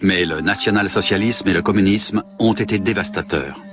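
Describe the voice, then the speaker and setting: Deep male voice-over, measured documentary read, music underneath. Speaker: documentary narrator. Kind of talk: scripted documentary narration. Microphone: studio voice-over mic. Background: background music.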